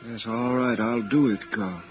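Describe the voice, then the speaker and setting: An actor playing an older antique dealer in a radio crime drama, evasive and hesitant in manner. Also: male voice, medium pitch, steady tone, measured pace, narrow, tinny audio, faint music behind, close to an everyday voice. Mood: uneasy. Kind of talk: old-time radio drama acting. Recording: hissy band-limited old radio recording.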